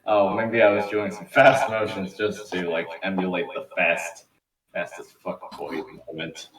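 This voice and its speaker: A man's voice: deep voice, little pitch movement, unhurried. A man reading scripted narration as the narrator of an amateur table read. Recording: roomy, distant mic with faint noise.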